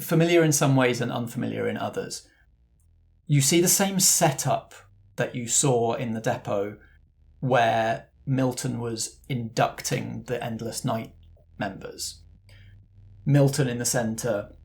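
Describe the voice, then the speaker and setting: A man narrating an improvised tabletop game as game master, conversational and descriptive, pausing to build each scene. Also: medium pitch, vocal fry, darkish tone.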